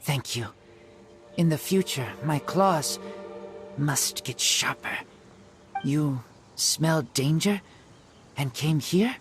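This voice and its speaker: An actor playing an earnest, feral teenage boy raised by wolves in an anime game, speaking in halting, broken phrases. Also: medium pitch, music in the background, close to an everyday voice. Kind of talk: anime game character acting.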